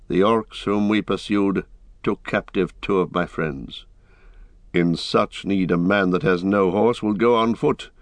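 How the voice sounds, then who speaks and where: A man voicing a noble, resolute ranger and royal heir in a fantasy audiobook, with measured, lofty, commanding speech. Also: lowish voice, dry recording, close to an everyday voice. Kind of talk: dramatic audiobook fantasy reading.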